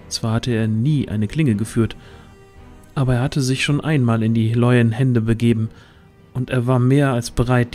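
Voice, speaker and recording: A man's voice: medium pitch, a little mellow. Male storyteller over background music. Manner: literary storytelling delivery. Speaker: narrator. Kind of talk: scripted audio drama narration.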